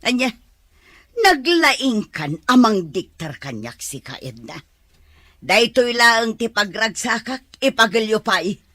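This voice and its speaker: A woman's voice: fairly high pitch, sing-song, slow, fairly bright tone, no echo, clean studio sound, heavily acted. A woman playing a whiny gambling mother. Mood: defensive. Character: older mother, gambler and drinker, defensive.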